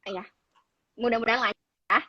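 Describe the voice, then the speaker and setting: High-voiced chatty woman hosting online. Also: steady tone, fairly quick, dull audio, a crowd in the background. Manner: chatty, mixes formal and casual phrasing. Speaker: host. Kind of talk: hosting a live online talk.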